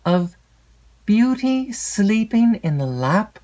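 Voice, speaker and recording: A woman reading a classic literary novel as an audiobook narrator, measured and expressive, lingering over descriptive phrases. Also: medium pitch.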